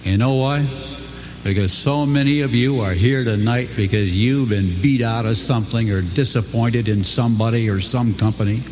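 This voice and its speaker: An older man giving a folksy, earnest motivational speech to a crowd, his pitch swooping for emphasis. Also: background noise. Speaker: company co-founder speaking to a crowd. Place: large hall before an audience. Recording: echoey hall, faint ambience.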